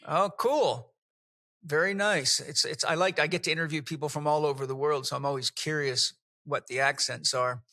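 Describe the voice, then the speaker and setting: A man's voice: a little high, moderate pace, bright, forceful tone, dry recording, clean studio sound. A man hosting a podcast interview, enthusiastic and effusive as he introduces and questions his guest. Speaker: podcast host.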